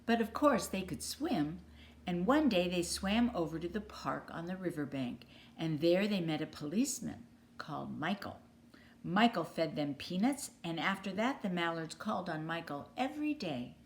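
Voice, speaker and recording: A woman's voice: on the low side, expressive, moderate pace, a little hiss or hum. A woman from the United States reading a children's picture book aloud as a storyteller. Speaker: storybook reader.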